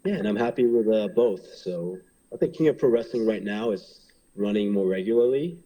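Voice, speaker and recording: A man's voice: fairly low pitch, normal speed, warm tone, low-quality recording. A man in his thirties from Hong Kong, a pro wrestler, talking casually in an interview and rambling with many fillers and restarts.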